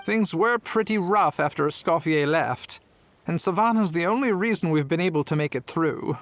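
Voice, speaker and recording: High-pitched, outspoken restaurant worker. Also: male voice, expressive, moderate pace, a bit bright, dry recording. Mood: earnest. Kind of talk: video game voice acting.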